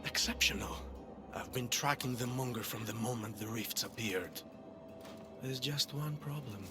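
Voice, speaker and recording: An actor playing an oily, falsely humble traitor in a game cutscene, speaking formally and smoothly, slipping into near-whispers. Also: male voice, medium pitch, lightly acted. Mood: smooth, scheming. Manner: smooth, ingratiating, formal, deliberate. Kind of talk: video game cutscene acting. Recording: loud music behind.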